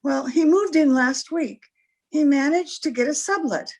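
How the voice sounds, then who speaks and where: A woman's voice: high-pitched, unhurried. An actress playing a mysterious, anxious married lady in an old-time radio crime drama, speaking hesitantly. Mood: guarded. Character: mysterious, frightened, refined married woman.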